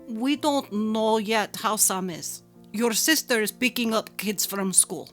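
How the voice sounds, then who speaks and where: A woman's voice: a little high, slow. A woman voicing a frantic older mother, urgent and clipped, in a dramatic story reading. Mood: urgent, frightened. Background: faint music.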